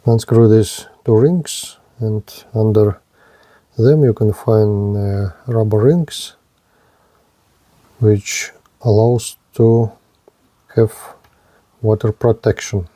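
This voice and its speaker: Slow, halting man demonstrating a gadget. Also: on the low side, fairly even intonation, mellow, no room sound. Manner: halting, short fragmented phrases. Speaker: gadget reviewer presenting a radio. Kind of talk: unscripted hands-on product demonstration.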